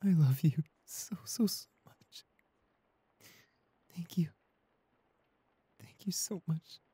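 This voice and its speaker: A man acts out a shy, clumsy young boyfriend in an intimate audio roleplay. His voice is mellow and soft, recorded close and dry. He is anxious and devoted, hesitating and stammering as he speaks. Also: a little high, takes it slowly, husky, dry recording, heavily acted. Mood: tender, grateful. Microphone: close studio condenser microphone.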